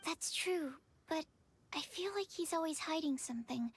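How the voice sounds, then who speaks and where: An eager young alchemy student speaks in a fairly high voice. She is polite and earnest, and pleads a little as she asks for help. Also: moderate pace, slight background noise.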